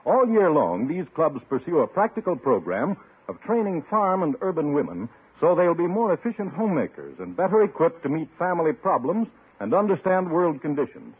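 Male vintage radio announcer, formal and earnest, lively intonation, slightly rough tone, tinny narrow broadcast. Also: fairly high pitch, brisk. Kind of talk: scripted 1950s public-service announcement.

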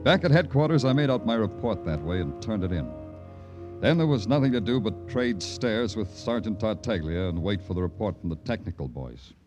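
An American actor playing a dry, wry police lieutenant in a 1950s radio crime drama, with clipped, sardonic, deadpan delivery. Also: male voice, on the low side, a little fast, natural voice. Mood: wry, measured. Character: dry, wry male New York police lieutenant narrator. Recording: vintage radio recording with music behind.

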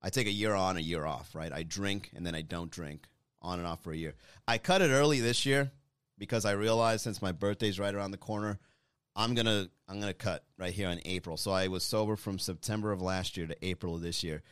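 Chatty man in his thirties, low voice. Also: fairly quick, a bit bright, clean tone, no room sound, clean studio sound. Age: 30s. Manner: rambling, self-deprecating, chatty. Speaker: comedian talking to viewers. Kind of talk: casual monologue to an online audience.

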